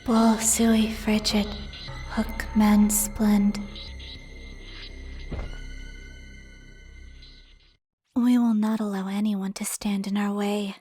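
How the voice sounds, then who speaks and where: A woman playing the ghost of a dead girl in a game dub, cryptic and coldly taunting, speaking in a measured, ominous, breathy whisper. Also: medium pitch, flat delivery, warm tone, lightly acted. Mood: cold, menacing. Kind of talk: dramatic video game dub acting. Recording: background music under the voice.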